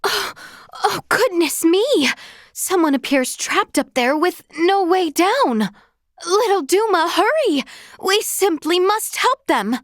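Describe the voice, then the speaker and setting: Young fantasy game princess, very animated intonation, courtly and polite, earnest, dry close studio sound. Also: female voice, very high voice, slow, dry recording, heavily acted. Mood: alarmed, urgent. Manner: formal, courtly, politely archaic phrasing. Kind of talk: scripted video game voice acting.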